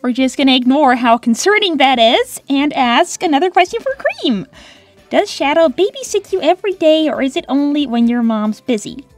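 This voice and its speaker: Girl doing a high pitched cartoon hedgehog girl, peppy but easily miffed, sarcastic, music behind. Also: big pitch swings, normal speed. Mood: wry, peppy. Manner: sarcastic, exasperated, girlish. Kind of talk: cartoon character answering fan questions.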